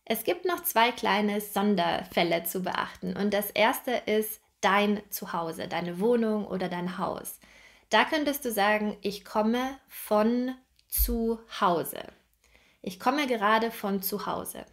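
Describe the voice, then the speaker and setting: A young woman teaching a grammar lesson straight to the camera, speaking slowly and clearly and repeating example sentences. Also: mid-range voice. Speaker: German teacher. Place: bright indoor room, seated at a table.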